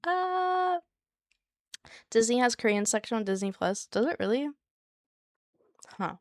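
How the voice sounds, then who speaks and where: Soft-voiced woman streamer chatting. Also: a little high, very animated, unhurried, no echo, studio quality. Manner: soft, soothing voice; chatty and giggly, talks to chat by name. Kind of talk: casual live-stream chat with viewers.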